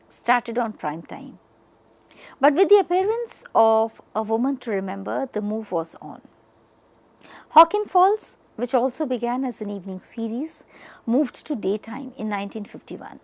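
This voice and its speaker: A woman with an Indian accent delivers a scripted educational lecture, speaking in deliberate, emphatic phrases with pauses. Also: mid-range voice.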